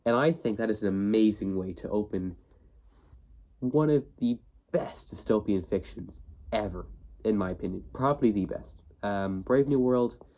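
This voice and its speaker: Low-voiced young man with a cold. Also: warm tone. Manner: rambling, many fillers and restarts. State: has a cold, sniffly. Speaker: book video host. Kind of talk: casual talk to camera.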